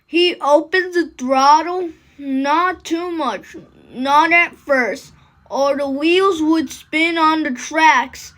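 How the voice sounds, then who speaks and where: A woman reads a picture book aloud in a high voice. Her pace is slow, with short, deliberate phrases set apart by pauses. The delivery is expressive and emphatic, as storybook reading. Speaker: storybook reader.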